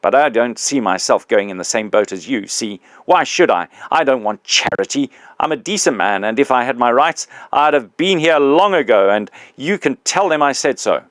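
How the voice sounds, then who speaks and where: A man voicing a loud, aggrieved big ghost. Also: mid-range voice, very animated, unhurried, no room sound, voice pushed up. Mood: indignant. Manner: loud, indignant, coarse working-class speech. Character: big blustering male ghost, aggrieved, self-righteous working man. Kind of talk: audiobook reading.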